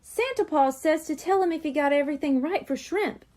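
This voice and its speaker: A woman voicing a helpful, excitable young mer-kitten girl, a loyal friend in a children's storybook. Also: sits high, a little fast, voice pushed up, natural voice. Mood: amazed.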